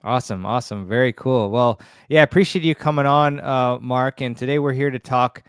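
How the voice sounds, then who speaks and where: A man's voice: medium pitch, fairly quick, clear, resonant tone, no room sound, studio quality. A man hosting an online interview, upbeat and friendly, with lots of fillers. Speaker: podcast host.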